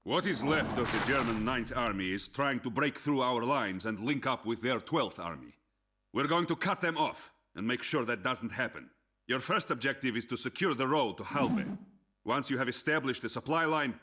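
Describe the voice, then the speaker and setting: Forceful, stern Soviet commander. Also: male voice, medium pitch, animated, normal speed. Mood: grim resolve. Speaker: Soviet officer giving briefings. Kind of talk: military game cutscene acting.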